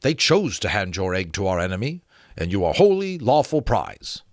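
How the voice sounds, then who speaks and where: A man's voice: fairly low pitch, sing-song, normal speed, dry recording, clean studio sound. A man voicing a gallant, reassuring military captain with formal, courteous manners in a dramatic storybook reading. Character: gallant, reassuring British male dragon captain.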